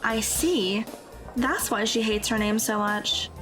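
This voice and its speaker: A woman's voice: a little high, slow, music in the background. A woman playing an earnest, easily flustered teenage schoolgirl in an audio drama, speaking in a tentative, questioning manner. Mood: puzzled.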